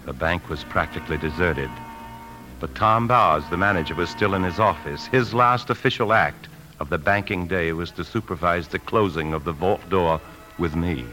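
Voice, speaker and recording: A man's voice: sits low, moderate pace, sharp tone. An American man acting in a 1950s radio drama as an anxious bank officer secretly stealing a fortune, tense and confiding as he narrates. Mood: tense narrating. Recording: 1950s radio broadcast with music.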